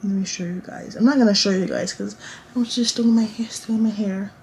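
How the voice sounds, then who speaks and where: A woman's voice: mid-range voice, fairly even intonation, a little fast, darkish tone, a little hiss or hum. A woman in her late teens chatting casually to camera in a college dorm room, rambling with lots of restarts and fillers. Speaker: vlogger.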